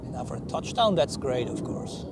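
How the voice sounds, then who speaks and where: A man with a Swiss accent giving relaxed, chatty live commentary on arriving and departing planes as a plane-spotting host. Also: medium pitch, normal speed. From Switzerland. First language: Swiss German. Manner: chatty, relaxed, thinking aloud. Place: outdoors beside an airport runway. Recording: outdoor aircraft noise. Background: aircraft and vehicle noise.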